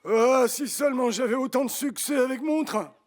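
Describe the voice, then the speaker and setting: High-pitched cartoon train driver. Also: male voice, normal speed, noisy background. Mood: reassuring. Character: sensible, friendly male tourist-train driver. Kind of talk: animated cartoon acting in French dub.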